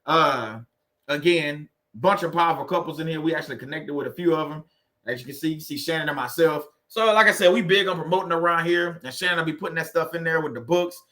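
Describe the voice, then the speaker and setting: Louisiana guy hosting a livestream, bright voice, pitched a bit high, loose and jokey, shouts out viewers by name. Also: fast. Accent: Louisiana Southern US. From Louisiana, USA. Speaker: livestream host. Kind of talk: casual livestream chat with viewers.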